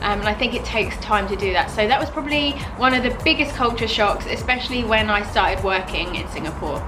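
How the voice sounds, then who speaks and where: A young British woman in her twenties, talking to camera in a casual, animated vlog and using "like" a lot. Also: mid-range voice, a little fast, poor audio. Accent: British English. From United Kingdom. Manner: chatty, animated, fills with "like". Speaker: vlogger. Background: background music.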